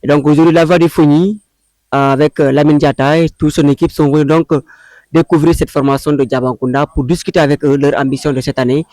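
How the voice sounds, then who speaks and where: A man hosting an interview for local media. He speaks formally, often pausing on "euh" and repeating "donc". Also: a little high, fairly even intonation, darkish tone, dry recording.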